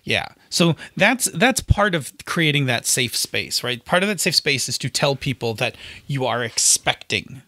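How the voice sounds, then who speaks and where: A man's voice: mid-range voice, sing-song, a little slow, hardly any pauses, bright, forceful tone, dry recording, clean studio sound. A man co-hosting a podcast, chatting casually and emphatically as he gives advice, often checking in with the listener. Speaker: podcast co-host. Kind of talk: casual chat about tabletop game advice.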